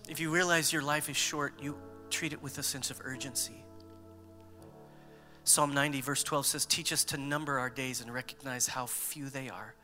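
A man in his fifties preaching a sermon as a pastor, conversational and animated, mixing scripture with humor. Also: mid-range voice, moderate pace, big-room sound, faint music behind.

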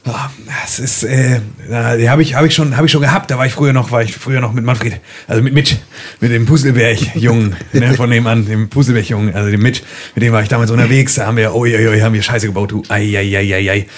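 A man's voice: mid-range voice, fairly even intonation, fast, few pauses, lightly acted. A man playing a paranoid, hunted dental technician in a tabletop game, rambling nervously and repeating himself. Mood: agitated, rambling. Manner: nervous, rambling, stammering repetitions.

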